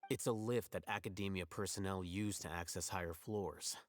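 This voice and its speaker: Man as a composed, low-voiced game guide. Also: normal speed, slight background noise, natural voice. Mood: composed, brisk. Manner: formal, matter-of-fact. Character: composed, brisk, knowledgeable male guide. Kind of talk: video game voice acting.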